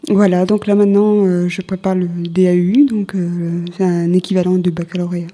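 A woman telling her own story casually as an interview guest, hesitant, with drawn-out pauses. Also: fairly low pitch, little pitch movement, dark tone. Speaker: interview guest, former childminder returning to study. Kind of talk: casual personal account.

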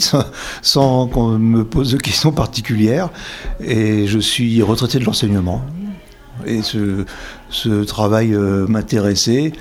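A man's voice: fairly low pitch, darkish tone, a little hiss or hum. An older man, a retired teacher now volunteering, speaking modestly and hesitantly with fillers. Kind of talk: interview answers.

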